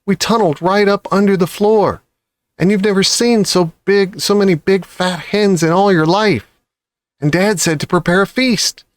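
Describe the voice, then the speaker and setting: A man voicing a small, eager young fox cub in a children's storybook, gushing and breathless with excitement. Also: sits high, moderate pace, dry recording, voice pushed up, close to an everyday voice. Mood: gushing, proud.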